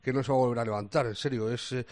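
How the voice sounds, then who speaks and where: A man with an accent from Spain discussing basketball casually as a commentator, colloquial and halting as he thinks aloud. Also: mid-range voice, fairly even intonation. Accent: Spanish from Spain.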